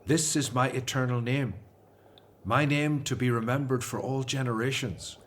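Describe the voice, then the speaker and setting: An actor playing an earnest, devout Hebrew prophet in a radio drama, measured and solemn. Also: male voice, mid-range voice, steady tone, natural voice. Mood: solemn recounting.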